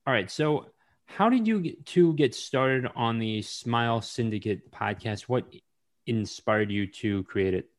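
A man's voice: medium pitch, unhurried. A man hosting a podcast interview, asking questions in a hesitant way with many fillers. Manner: hesitant, lots of uhs when asking questions. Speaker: podcast host. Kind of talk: casual interview.

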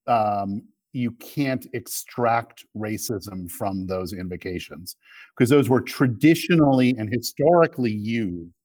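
A man speaking as an interview guest in a conversational political debate, making emphatic, halting arguments. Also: fairly low pitch, darkish tone, dry recording. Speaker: interview guest, political commentator and media executive.

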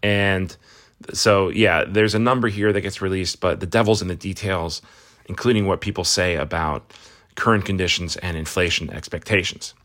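A man hosting a casual morning market rundown, talking conversationally with frequent restarts and fillers, slightly hoarse from a cold. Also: low-pitched, fairly even intonation, moderate pace, fairly bright tone. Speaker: market news host.

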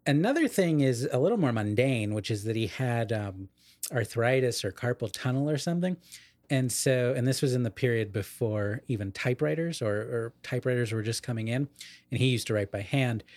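Male podcast co-host, mid-pitched, bookish and explanatory, casual literary talk, very dry sound. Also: lively intonation, moderate pace, darkish tone, no room sound. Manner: erudite, explanatory, qualifying his points.

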